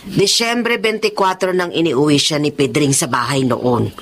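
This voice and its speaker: A woman's voice: deep voice, moderate pace, a little hiss or hum, natural voice. A female actor playing a devoted, worried mother who pleads emotionally in a radio drama. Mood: tender worry. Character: anxious middle-aged mother, devoted and tender.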